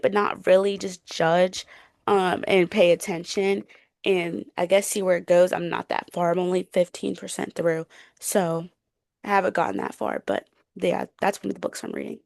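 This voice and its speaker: A woman's voice: fairly low pitch, steady tone, moderate pace, creaky, darkish tone, no echo. A woman co-hosting a book podcast, chatting informally with youthful slang and frequent self-corrections. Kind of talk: casual chat about books.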